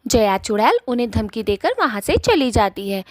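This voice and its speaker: A woman's voice: fairly high pitch, big pitch swings, moderate pace, no room sound, studio quality. A woman narrating a scripted cartoon story as its storyteller.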